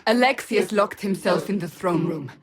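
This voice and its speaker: A woman's voice: medium pitch, moderate pace, a crowd in the background, lightly acted. A woman playing a stern, devout, proud warrior in a fantasy video game, speaking in a formal, clipped and earnest manner. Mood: serious.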